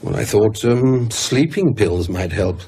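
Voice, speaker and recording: A man's voice: fairly low pitch, lively intonation, unhurried, warm tone, husky. A man playing an anxious, evasive English university academic in a radio drama, hesitant, stammering and apologetic. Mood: uneasy, weary.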